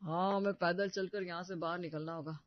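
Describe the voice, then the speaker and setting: A woman's voice: lowish voice, steady tone, fairly quick, dry recording, close to an everyday voice. A woman voicing a tired young office worker in a cartoon, complaining as he walks. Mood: weary. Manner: complaining, weary. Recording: dull, muffled audio.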